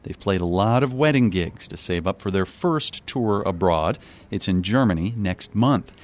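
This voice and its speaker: A man narrating a radio news report in a measured, scripted delivery. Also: sits low, expressive.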